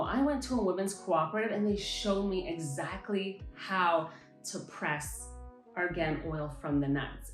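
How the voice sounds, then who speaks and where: A woman who owns a henna hair care shop, chatting enthusiastically and directly to viewers about her products. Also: mid-range voice. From Montreal, Canada. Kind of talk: product showcase vlog. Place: her salon and shop space. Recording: roomy space with light music.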